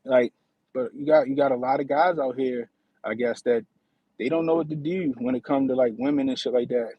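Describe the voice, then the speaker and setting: A man's voice: mid-range voice, fairly even intonation, fairly quick, breathy edge, no echo, highs cut off. A man in his thirties hosting a casual livestream, talking in a slangy, profane way and often asking "you know what I mean". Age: 30s. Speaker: livestream host.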